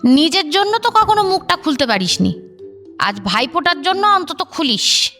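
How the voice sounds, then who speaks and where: A woman's voice: extremely high pitch, very animated, normal speed, music in the background. A woman acting an embittered, protective aunt in a radio drama, with a firm, admonishing delivery. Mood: scolding. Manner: emphatic, admonishing.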